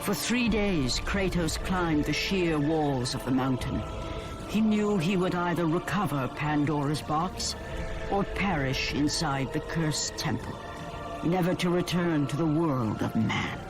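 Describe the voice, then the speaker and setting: An actress narrating ancient myth as a grave, epic storyteller in a video game, dramatic and solemn. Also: female voice, deep voice, animated, a little slow, over music.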